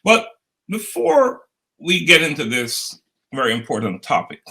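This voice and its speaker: A man hosting an interview show, speaking in a formal, polished, measured way. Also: on the high side, huge pitch swings, creaky, pushed and bright. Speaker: host. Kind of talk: scripted interview introduction.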